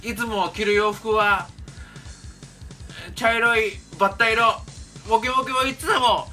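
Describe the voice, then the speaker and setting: A man's voice: high-pitched, steady tone, a little slow, bright, forceful tone, voice pushed up. A man voicing a cheerful, childlike pet creature in simple, sing-song phrases over faint music.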